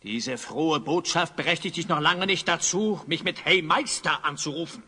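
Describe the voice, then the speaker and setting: A man's voice: fairly high pitch, normal speed, pushed and bright, slightly rough, full character voice. A man playing a vain, villainous wizard in a children's audio drama, pompous, imperious and gloating.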